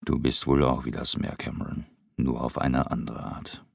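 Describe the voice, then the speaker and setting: Tired hesitant man, super low voice, dry and close up, read by a male narrator. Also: flat delivery, moderate pace, gravelly, breathy edge, no room sound, full character voice. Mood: subdued. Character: weary, withdrawn man, quiet and hesitant.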